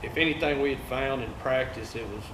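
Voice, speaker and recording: A man from East Texas with an East Texas accent, a guest angler talking casually in a laid-back, halting way full of fillers. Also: mid-range voice. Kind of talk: casual chat. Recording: echoey room with loud ambient noise.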